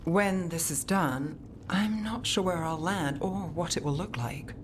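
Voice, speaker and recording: Very low-voiced, resolute woman mage played by an actress. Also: unhurried, background noise, lightly acted. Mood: wry. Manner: guarded, matter-of-fact, dry. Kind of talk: video game character acting.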